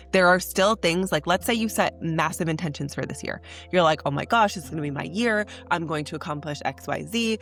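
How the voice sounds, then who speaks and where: A young woman hosting a spiritual podcast, talking in an earnest, chatty way, congested from a cold. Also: fairly low pitch. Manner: earnest, chatty, says "like" often. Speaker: podcast host. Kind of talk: casual spiritual podcast monologue.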